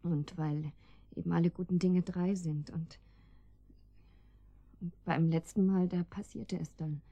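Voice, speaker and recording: Soft-toned anxious housewife. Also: female voice, on the low side, fairly even intonation, a little slow, vocal fry, no echo. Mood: wistful, halting. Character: anxious, naive married housewife, defensive and pleading. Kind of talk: German radio drama acting.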